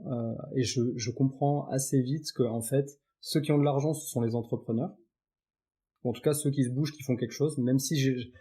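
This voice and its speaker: Un homme invité d'un podcast, qui raconte son parcours sur un ton de conversation détendue, avec beaucoup d'hésitations et de reprises. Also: male voice, medium pitch, mellow. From Paris suburbs, France. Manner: chatty, many fillers and restarts. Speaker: podcast guest. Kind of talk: casual interview chat.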